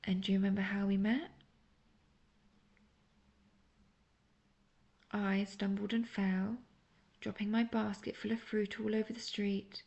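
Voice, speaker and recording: Obsessive witch played by a woman for intimate audio roleplay: creaky, breathy, coaxing, sweetly manipulative. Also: mid-range voice, level tone, darkish tone, highs cut off, natural voice. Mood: wistful, adoring. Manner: breathy, slow, coaxing, often half-whispered. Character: obsessive young female witch, lovestruck and manipulative.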